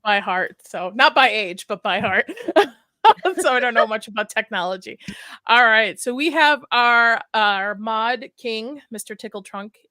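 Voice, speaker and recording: A woman's voice: a little high, sharp tone, smooth, no room sound, clean studio sound. A woman hosting a casual podcast from her home streaming setup, chatty and giggly as she greets people in the chat. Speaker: podcast host. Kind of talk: casual chat, reading out chat names.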